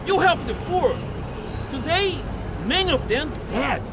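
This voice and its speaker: A man's voice: extremely high pitch, very animated, unhurried, crowd noise, noisy background, heavily acted. An actor playing a smug, menacing male crime boss in a game cutscene, speaking English with a Brazilian Portuguese accent in a sneering, mocking way. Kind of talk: video game cutscene acting.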